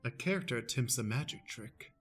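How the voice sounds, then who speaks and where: Man, deadpan narrator, mid-pitched voice, reading stage directions, radio play, faint music behind. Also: fairly quick.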